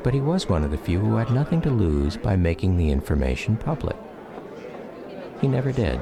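A man tells a personal story as a radio drama narrator. His voice is very deep and moves expressively while staying reflective and measured. Music plays clearly behind him.

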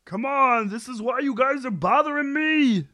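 A man's voice: very high-pitched, animated, moderate pace, pushed and bright, no room sound, exaggerated voice. A man playing a whiny, put-upon sitcom husband and team captain in an amateur table read. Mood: irritated.

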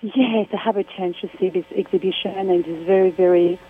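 A woman from Congo with a French accent, speaking as an artist guest on a phone interview, soft-spoken and halting in English, laughing often. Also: fairly low pitch, steady tone, slightly rough. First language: Swahili, Lingala and French. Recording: tinny phone line with faint noise.

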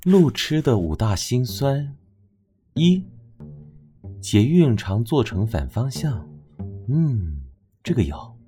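A man acts as a young male stylist from a romantic audio drama, speaking slowly with a Taiwanese Mandarin accent. His manner is soft and attentive, with lively swings in intonation. Music plays clearly underneath. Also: mid-range voice. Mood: musing, amused. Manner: soft, caring, unhurried.

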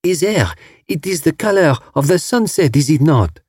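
A man's voice: a little high, sing-song, normal speed, a little mellow, slightly rough, no room sound, clean studio sound, voice pushed up. Man putting on a dainty, swooping French coquette. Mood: adoring. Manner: dainty, gushing broken English.

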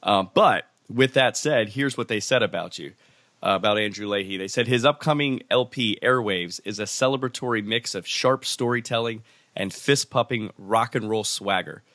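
Brief: male podcast host, chatty, hesitant, low voice, dry close sound. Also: normal speed, a bit bright, no echo. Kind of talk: casual interview chat.

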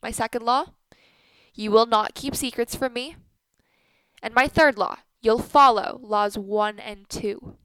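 A woman acting a bitter, commanding older wife and mother in a radio play, speaking deliberately and imperiously with emphasis. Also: on the high side, a bit bright, smooth, no room sound, rough recording.

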